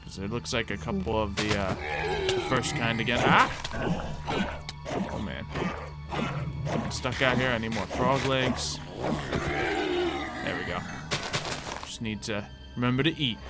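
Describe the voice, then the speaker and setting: A man giving casual gameplay commentary, thinking aloud in a mild, halting way. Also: on the low side, expressive. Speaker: gamer playing a Minecraft roleplay series. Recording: game music behind the voice. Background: game music.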